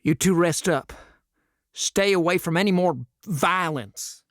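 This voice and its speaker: A man's voice: sits high, measured pace, no room sound, clean studio sound. A man playing an earnest, harmless western sheriff in an audio drama. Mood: plucky, proud.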